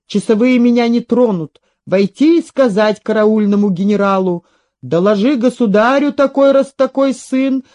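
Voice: medium pitch, mellow, close to an everyday voice. A performer voicing a boastful, pathetic old veteran soldier with rustic, declamatory speech in a story reading.

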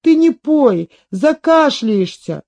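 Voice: high voice, sing-song, slow, vocal fry, a little mellow, voice pushed up, full character voice. A performer voicing a frightened, devoted little beggar girl who pleads in ringing cries, in a story reading.

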